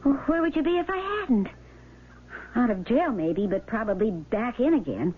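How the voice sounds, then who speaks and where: An actress playing a domineering, calculating wife with a scornful, commanding way of talking, in 1970s radio drama style. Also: female voice, fairly high pitch, animated, moderate pace, breathy edge. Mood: scheming, bossy. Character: domineering, vengeful rich wife, sharp-tongued and calculating. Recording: narrowband, noisy old radio broadcast recording.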